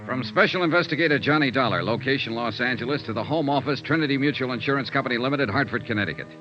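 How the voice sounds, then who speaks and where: An actor playing a hard-boiled insurance investigator, narrating crisply and dramatically in 1950s radio detective style. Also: male voice, mid-range voice, quick pace, over music, lightly acted. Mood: dramatic, punchy. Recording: dull vintage radio recording.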